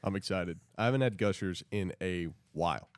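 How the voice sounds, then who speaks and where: A man's voice: sits low, normal speed, faint music behind. A young man co-hosting a podcast with casual, informal banter. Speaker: podcast co-host.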